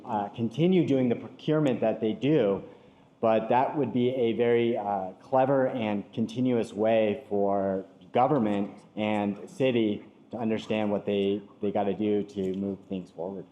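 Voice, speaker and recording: Man, candidate, low voice, mellow tone, earnest, hesitant, echoey room. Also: moderate pace. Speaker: city council candidate. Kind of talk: forum answer.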